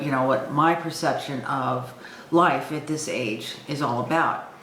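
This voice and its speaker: A woman aged sixty-five talking informally and reflectively to her viewers, thinking aloud with some hesitation. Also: sits low. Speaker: online video host. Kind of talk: reflective personal talk to camera. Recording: echoey room with faint background noise.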